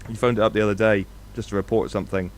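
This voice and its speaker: Low-voiced man, animated podcast chatter. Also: rapid-fire, slight background noise. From Scotland. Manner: animated, swears, repeats himself. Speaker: podcast co-host. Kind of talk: casual podcast chat. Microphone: podcast microphone.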